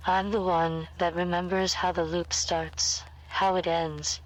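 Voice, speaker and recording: A woman playing an eerie, unsettlingly calm captive former teacher in a horror story, deliberate and cryptic. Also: fairly low pitch, close to an everyday voice, rough recording. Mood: foreboding. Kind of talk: scripted horror acting.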